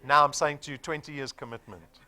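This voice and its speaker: A man's voice: on the high side, fairly even intonation, brisk, pushed and bright. A man of forty with a South African accent, giving a motivational business presentation in an emphatic, persuasive manner with rhetorical questions. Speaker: sales presenter.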